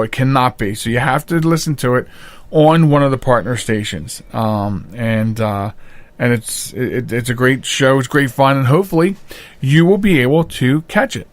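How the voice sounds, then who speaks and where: A man's voice: mid-range voice, no room sound. An American man, middle-aged or older, hosting a podcast in loose, unscripted, conversational chat. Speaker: podcast host. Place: home studio.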